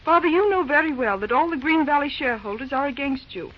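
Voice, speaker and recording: A woman's voice: high voice, animated, fast talker. A woman playing a frank, principled young daughter in an old-time radio drama, speaking articulately and with indignation. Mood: frank, scolding. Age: young adult. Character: outspoken, principled young daughter of wealthy family. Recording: dull old radio recording.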